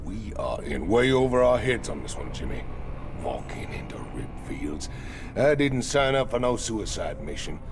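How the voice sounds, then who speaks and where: A man playing a gruff, jaded ex-convict outlaw in a video game, with a gravelly voice and blunt, slangy, folksy drawl. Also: big pitch swings, normal speed. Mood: disgruntled. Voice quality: gravelly, very deep. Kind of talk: dramatic video game dialogue. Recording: music behind the voice.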